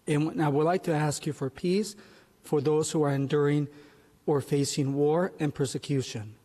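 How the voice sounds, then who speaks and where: A man on the city council delivering an invocation in a slow, solemn, measured way. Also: a little high, steady tone, mellow. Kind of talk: spoken prayer. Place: council chamber. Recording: long reverb in a council chamber.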